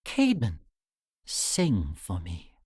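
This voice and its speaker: Man voicing a soft, gentle dream spirit. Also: low-pitched, wildly swooping pitch, husky, no echo, clean studio sound, pitched below the performer's own voice, full character voice. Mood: gently urging. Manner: soft, slow, coaxing.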